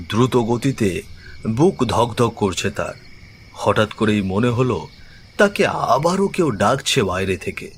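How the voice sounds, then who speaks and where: A man narrating a scripted horror story in a measured, suspenseful way, phrase by phrase. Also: lowish voice, a little hiss or hum.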